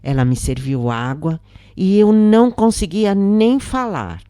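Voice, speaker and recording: A woman's voice: mid-range voice, big pitch swings, unhurried, dark tone, no room sound, studio quality. A woman narrating a scripted first-person story in a dramatic storytelling style.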